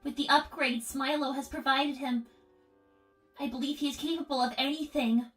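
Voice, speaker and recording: Woman as a high-voiced, solemn little robot girl. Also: steady tone, normal speed, a bit bright, faint music behind, low-quality recording. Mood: hopeless. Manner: formal, precise, subdued. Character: young girl robot, solemn, withdrawn, despondent little sister. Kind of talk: improvised in-character livestream roleplay.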